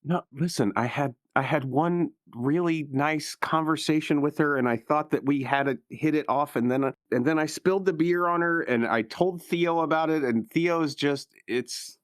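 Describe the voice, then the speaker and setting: A man's voice: fairly high pitch, moderate pace, hardly any pauses, no room sound, studio quality. A man playing a tabletop role-playing game, improvising in a casual, blunt, sardonic way. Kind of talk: casual in-character improvised chat.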